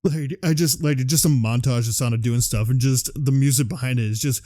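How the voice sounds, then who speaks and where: A male podcast co-host with a mid-pitched voice chats casually and informally. He talks with the animated enthusiasm of a devoted fan and swears freely. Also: fairly quick. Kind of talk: casual chat about video game movies.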